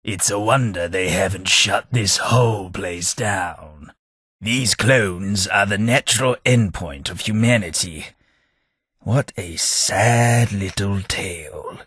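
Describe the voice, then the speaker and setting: A fussy, snobbish companion in a video game, middle-aged and dryly sardonic. The voice is bright and edged, a touch husky, sitting slightly low. The lines come unhurried and measured. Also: male voice, no room sound, heavily acted. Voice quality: dry, sardonic delivery. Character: prim, snobbish British male companion, dry wit.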